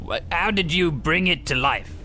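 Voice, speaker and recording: Radio comedy straight man male: skeptical, curt, forceful bright voice, faint background ambience. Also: fairly high pitch, moderate pace, a little hiss or hum. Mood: impatient. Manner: deadpan, curt, interrupting. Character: impatient, skeptical man listening to a tall tale. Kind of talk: parody radio play acting.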